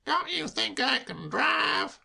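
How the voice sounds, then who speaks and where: A man voicing a breathless, desperate man who croaks out urgent demands in a strained, raised voice. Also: very high-pitched, very animated, takes it slowly, bright, forceful tone, pitched above the performer's own voice, exaggerated voice. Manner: croaking, pleading.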